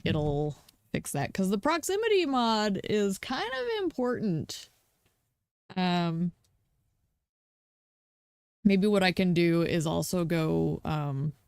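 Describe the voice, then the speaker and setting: Croaky woman streamer thinking aloud. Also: fairly low pitch, no echo, studio quality. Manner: thinking aloud, many ums, chatty asides to herself. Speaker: game streamer. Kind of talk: casual gameplay commentary.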